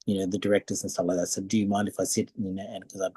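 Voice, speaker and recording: A man's voice: low-pitched, flat delivery, very fast, mellow, slightly rough, dry recording, clean studio sound. An older man with an Australian accent reminiscing conversationally as an interview guest and telling anecdotes. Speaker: interview guest, retired dancer and performer.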